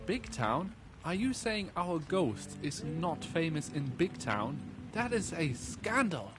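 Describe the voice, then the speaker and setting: Proud, indignant innkeeper, raised pitch. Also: male voice, sing-song, slow pace, over music. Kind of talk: video game character dialogue.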